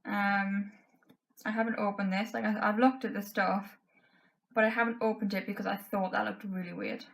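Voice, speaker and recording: A woman's voice: medium pitch, level tone, moderate pace, vocal fry. A woman in her twenties talks straight to a camera in her bedroom, testing makeup as she goes. Her voice is worn and fading, and she speaks frankly, with plenty of fillers. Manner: chatty, frank, many fillers like "um" and "like". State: losing her voice. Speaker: beauty vlogger. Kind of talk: casual makeup tutorial chat. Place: bedroom, indoors.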